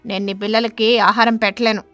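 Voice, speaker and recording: Cartoon stork husband played by a woman: stern, sharp-tongued, lecturing, high-pitched for a male, dry sound over music. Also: level tone, brisk, no room sound, lightly acted. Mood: harsh, scornful.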